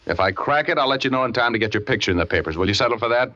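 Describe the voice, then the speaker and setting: An American actor playing a hard-boiled, flirtatious private detective in a 1940s radio drama, with a sardonic, smooth-talking delivery. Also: male voice, mid-range voice, lively intonation, rapid-fire, sharp tone. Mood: brusque. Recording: dull old radio recording with background music.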